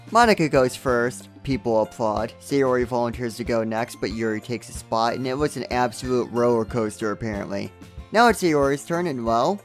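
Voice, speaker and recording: Animated, sarcastic man narrating a game. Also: mid-range voice. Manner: sarcastic, joking asides with exaggerated reactions. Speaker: video game reviewer. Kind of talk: comedic scripted narration of a game playthrough. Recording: music under the voice. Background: background music.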